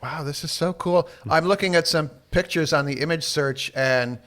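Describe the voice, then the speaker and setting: A man's voice: on the high side, normal speed, a bit bright. A man hosting a podcast in casual chat, curious, asking questions and reading bits from the web. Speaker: podcast host.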